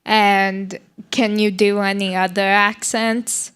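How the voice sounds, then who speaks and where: Slow, smooth-voiced woman host. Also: medium pitch, level tone, a bit bright. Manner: slow, hesitant, with fillers. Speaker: interview host. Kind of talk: casual interview questions.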